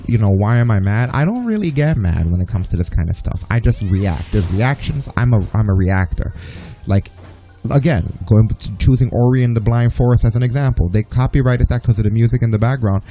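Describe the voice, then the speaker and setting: Podcast host, young adult man, very dark mellow tone, emphatic and opinionated debater. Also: mid-range voice. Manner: emphatic, opinionated, argues with rising voice. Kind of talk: heated casual podcast chat.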